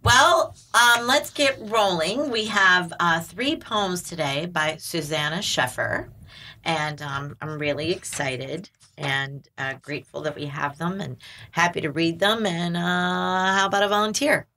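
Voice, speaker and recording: A woman's voice: lowish voice, measured pace, pushed and bright. Woman, podcast host, chatty, laughs a lot. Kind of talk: casual group chat.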